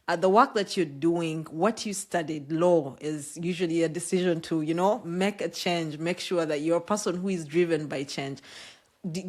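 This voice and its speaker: A woman from East Africa hosts a relaxed podcast interview. Her voice sits a little low, and she talks in a chatty, storytelling way, laughing often. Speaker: podcast host. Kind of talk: casual interview chat.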